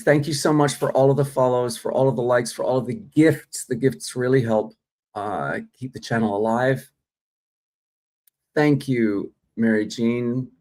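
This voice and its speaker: A middle-aged man from Australia hosting a live acting stream, chatting casually and reading out viewer comments with many ums and uhs. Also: medium pitch. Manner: chatty, reads viewer comments, frequent fillers. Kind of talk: casual livestream chat. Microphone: phone or desk mic, livestream.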